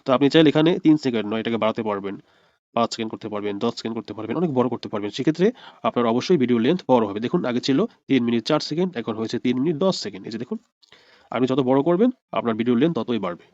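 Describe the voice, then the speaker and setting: A man's voice: mid-range voice, lively intonation, very fast, darkish tone, no echo, dull audio. A man narrating a screen tutorial as an instructor, explaining step by step and repeating his points. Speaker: video editing instructor.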